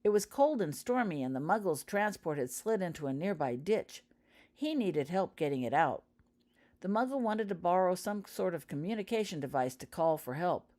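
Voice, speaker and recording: A woman's voice: on the low side, big pitch swings, normal speed, a little mellow, voice pushed up. Woman reading as a witch schoolgirl telling tales, eager, storybook voice, very up and down. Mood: storytelling. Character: schoolgirl witch eagerly recounting family anecdotes.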